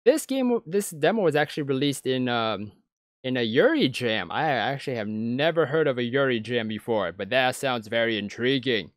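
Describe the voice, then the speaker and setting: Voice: medium pitch, animated, normal speed, dry recording, studio quality. A let's play host of unclear sex, reading a visual novel aloud with casual, musing commentary. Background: game music.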